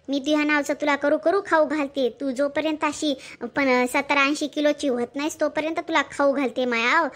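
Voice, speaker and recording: A woman voicing a nagging rural mother who scolds her grown daughter with rapid, sarcastic talk. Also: high voice, a bit bright.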